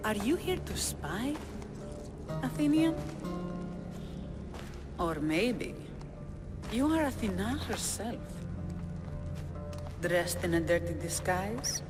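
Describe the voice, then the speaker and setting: Commanding, expressive rebel woman. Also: mid-range voice, slow pace, pauses often. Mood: wary, mocking. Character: proud, weary female rebel leader of ancient Greece. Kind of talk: dramatic video game cutscene acting. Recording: reverberant hall, music behind.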